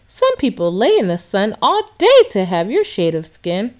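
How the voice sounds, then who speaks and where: A woman voicing a gentle, loving mother who speaks softly and tenderly in a children's storybook. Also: medium pitch, wildly swooping pitch, moderate pace. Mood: tender, reassuring. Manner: soft, tender. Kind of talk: children's storybook read-aloud.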